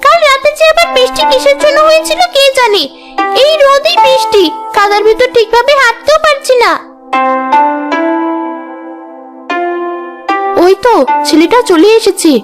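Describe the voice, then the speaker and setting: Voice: very high-pitched, a little fast. A cartoon voice playing a vain, bossy young village woman, dramatic and complaining, with music behind. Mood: vain, fussy. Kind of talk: cartoon character dialogue.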